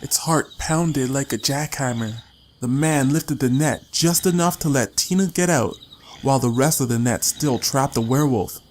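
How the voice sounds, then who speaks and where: A man's voice: mid-range voice, normal speed, a little hiss or hum. A man reading a story as an audiobook narrator, in a dramatic scripted style.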